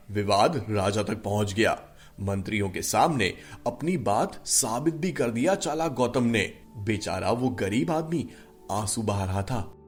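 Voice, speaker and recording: A man narrating a scripted animated short story as its storyteller. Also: fairly low pitch.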